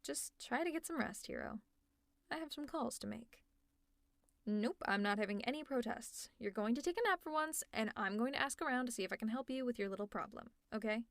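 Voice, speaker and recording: Girl doing a teasing villain lady for asmr roleplay, exasperated but caring, highish voice, super dry recording no room. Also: animated, brisk, no room sound. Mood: firm, caring. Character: protective, teasing female villain fussing over a hero. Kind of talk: scripted roleplay ASMR, one-sided dialogue.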